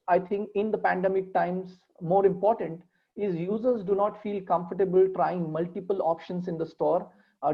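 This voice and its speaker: A man's voice: sits high, dull audio. A man giving a webinar presentation, working through points with many fillers. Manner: hesitant, steady listing with many fillers.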